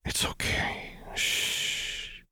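A man voicing a caring, supportive woman knight in an audiobook story, speaking softly and encouragingly. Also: sits low, animated, normal speed, breathy edge, no room sound, full character voice. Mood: soothing. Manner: soft, soothing, encouraging.

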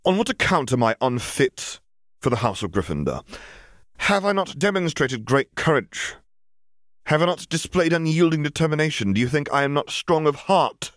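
A man doing a cold, commanding dark wizard. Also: fairly high pitch, sing-song, moderate pace, dry recording, clean studio sound, voice pushed up. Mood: insistent.

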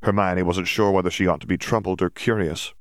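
A man's voice: low-pitched, steady tone, a little fast, no echo, studio quality. A man reading a fantasy story aloud as scripted audiobook narration.

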